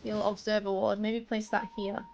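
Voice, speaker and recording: A woman's voice: medium pitch, flat delivery, normal speed, creaky, darkish tone, highs cut off. A woman streaming live, patiently explaining a video game step by step to a newer player. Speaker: streamer coaching a new player.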